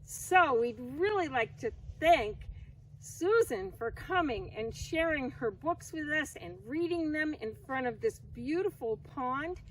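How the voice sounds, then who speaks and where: Slow, high-voiced woman making an announcement. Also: lively intonation. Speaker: event host for a pond conservation group. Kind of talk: closing announcement. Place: outdoors beside a pond. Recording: distant mic, faint outdoor noise.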